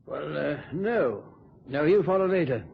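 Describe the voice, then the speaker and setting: An actor playing a kindly, fussy older uncle with a coaxing, avuncular manner in an old radio drama. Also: male voice, on the high side, animated, a little slow, vocal fry, breathy edge, exaggerated voice. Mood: evasive. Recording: dull, lo-fi old radio recording.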